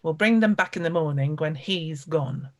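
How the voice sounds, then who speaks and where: A woman storyteller voicing a shrewd, kindly village shopkeeper who talks briskly and teasingly. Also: low-pitched, natural voice.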